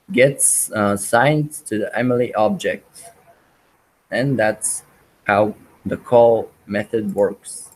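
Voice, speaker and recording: A man teaching a programming webinar, walking through live code with many pauses, ums and restarts. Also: fairly low pitch, poor audio. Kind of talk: live coding walkthrough.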